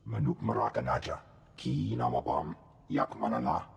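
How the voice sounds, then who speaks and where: An actor voicing a gruff alien general in a video game, speaking an invented tongue in a gravelly rasp. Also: male voice, very deep voice, sing-song, normal speed, exaggerated voice. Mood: insistent. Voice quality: gravelly, rasping. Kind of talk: cinematic video game creature voice. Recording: long hall reverb.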